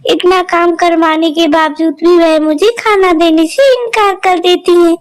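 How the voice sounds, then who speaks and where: A woman's voice: very high voice, steady tone, a little slow. A woman voicing a sad, hungry little stepdaughter who pleads and sounds tearful.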